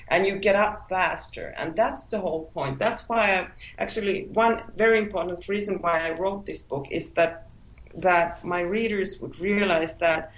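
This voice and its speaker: A woman's voice: medium pitch, echoey room, poor audio. A woman whose first language is Finnish, answering interview questions as an author, often restarting and repeating words as she searches for them. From Finland. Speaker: author guest.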